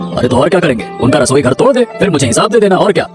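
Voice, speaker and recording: A man's voice: fairly high pitch, very animated, rapid-fire, music in the background. A man playing a greedy, domineering village headman in a Hindi moral-story cartoon, threatening as he talks. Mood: bossy, threatening. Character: greedy, bullying village headman, land-grabber.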